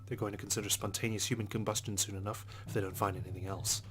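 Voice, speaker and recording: Male let's play reader for story-game narration: deepish voice, rapid delivery, music underneath. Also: level tone, fairly bright tone. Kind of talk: narration read aloud from a visual novel.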